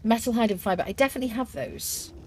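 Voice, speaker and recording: A woman's voice: a little high, quick pace, darkish tone, slightly rough. A woman streaming a survival game, chatting as she plays, thinking aloud with fillers and answering her viewers' comments. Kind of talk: live gameplay commentary.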